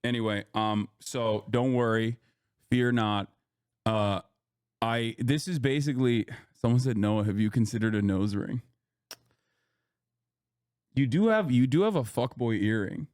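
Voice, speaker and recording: A man's voice: mid-range voice, a little slow, long pauses, a little mellow, smooth. A man hosting a livestream in casual, joking chat, swearing and speaking straight to his chat. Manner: joking, profane, talks to chat. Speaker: streamer host.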